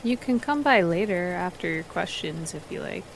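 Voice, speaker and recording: A woman's voice: lowish voice, expressive, moderate pace, slight background noise. A woman playing a stiff, reserved male mage in a tabletop game, speaking in a dry, matter-of-fact manner. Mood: dry, resigned.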